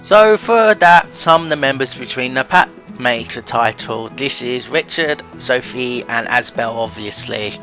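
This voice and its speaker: A man's voice: mid-range voice, lively intonation, measured pace, few pauses, pushed and bright, soft background music, low-quality recording. A man hosting a let's play with casual game commentary.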